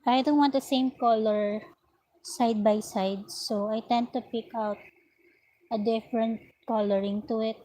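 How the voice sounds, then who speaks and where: A woman vlogging about her crochet work in an unhurried, chatty way, her voice slightly raspy. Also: fairly high pitch, steady tone, warm tone, poor audio. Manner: unhurried, chatty, talks while working. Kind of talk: slow casual vlog narration while crocheting. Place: at home, indoors.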